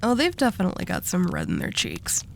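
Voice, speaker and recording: A woman's voice: lowish voice, wildly swooping pitch, fast talker, creaky, slight background noise, full character voice. A woman playing a dominant, seductive mafia boss in a roleplay audio drama, teasing and possessive with a coaxing menace.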